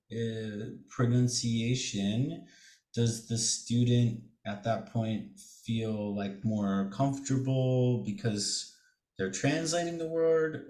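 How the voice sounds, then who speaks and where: A man co-hosting a teachers' podcast, casual and chatty with quick affirmations. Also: medium pitch, darkish tone. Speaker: podcast co-host, ESL teacher. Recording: roomy echo.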